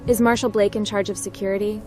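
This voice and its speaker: A woman's voice: medium pitch, little pitch movement, fast talker, darkish tone, soft background music, close to an everyday voice. A woman voicing a direct, inquisitive female hacker adventurer in scripted game role-play. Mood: curious, businesslike. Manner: direct, to the point.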